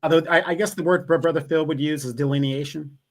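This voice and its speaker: A man's voice: a little high, fairly even intonation, fast talker. A man co-hosting a casual livestream, making emphatic points and repeating them to drive them home. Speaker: co-host.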